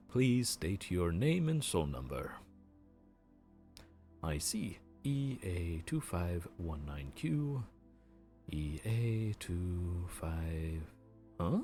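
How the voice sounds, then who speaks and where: A man's voice: low voice, a little mellow, heavily acted. A man voicing a weary male grim reaper who runs the underworld queue, a sarcastic and exasperated bureaucrat. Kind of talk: audio roleplay.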